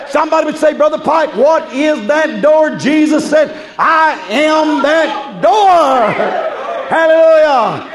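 A male revival preacher delivers a fervent sermon in a strikingly high-pitched, shouting voice. He hammers on repeated refrains and calls out to his listeners. It is a live recording, with the congregation audible around him. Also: rough recording. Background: congregation crowd.

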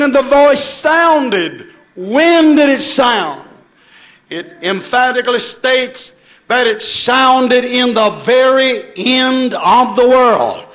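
A man's voice: very high-pitched, fairly bright tone. A man preaching an impassioned sermon, quoting scripture in emphatic, repetitive, declamatory phrases.

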